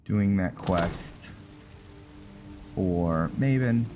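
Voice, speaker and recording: Male gameplay host, low register, unhurried and halting, casual role-play commentary with clear music underneath. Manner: halting, musing, trailing off. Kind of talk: casual game roleplay commentary.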